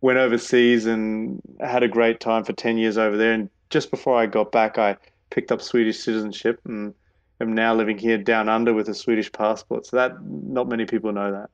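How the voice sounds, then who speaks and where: A man's voice: fairly low pitch, flat delivery, a little fast, clean tone. A man being interviewed on a podcast, talking enthusiastically and restarting words as he goes. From Australia. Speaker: guest, innovation expert. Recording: dull lo-fi sound.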